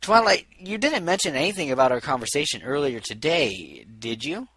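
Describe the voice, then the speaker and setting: Man as a guarded storybook unicorn mare, matter-of-fact, slightly raised pitch, crisp bright tone. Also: very animated, normal speed, no echo, heavily acted. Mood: concerned, firm.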